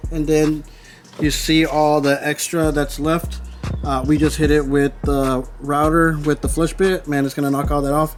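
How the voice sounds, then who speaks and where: A man hosting a DIY car audio build video, talking informally and explaining each step as he works. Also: on the high side. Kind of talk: casual hands-on workshop tutorial. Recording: music playing behind the voice. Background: music.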